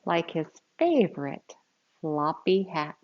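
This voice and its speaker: A woman reading a picture book aloud to young children in an expressive storytelling style, with simple explanatory asides. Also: fairly low pitch, takes it slowly, dull audio.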